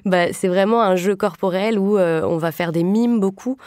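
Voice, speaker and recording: Woman, low mellow voice, relaxed explaining with fillers, dry close recording. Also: normal speed, no room sound. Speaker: guest, improv workshop leader. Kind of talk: podcast discussion.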